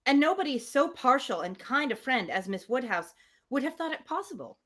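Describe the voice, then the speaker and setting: Woman, animated intonation, live book reader who drifts between narration and informal, self-interrupting chat. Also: sits high, a little fast. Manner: chatty, self-interrupting asides to listeners. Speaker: livestream host reading a novel aloud. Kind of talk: live read-aloud of a classic novel with casual asides.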